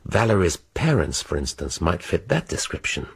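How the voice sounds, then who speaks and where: An actor playing a dry, erudite middle-aged police inspector in a radio drama, wry and precise. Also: male voice, low voice, big pitch swings, normal speed, gravelly, husky, full character voice. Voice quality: wry, precise, dryly ironic.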